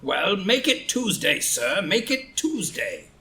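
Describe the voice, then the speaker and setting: A man's voice: sits high, big pitch swings, slow, bright, forceful tone, full character voice. A man playing a crusty, bossy old aristocratic father in a comedy play reading, brusque and scolding. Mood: indignant, scolding.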